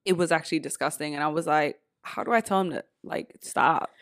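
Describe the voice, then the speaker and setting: A young woman co-hosting a laid-back podcast, blunt and salty, full of "like" and acted-out reactions. Her voice sits on the low side. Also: brisk, fairly bright tone. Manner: blunt and profane, with lots of fillers and quoted reactions. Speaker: podcast co-host. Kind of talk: candid casual chat.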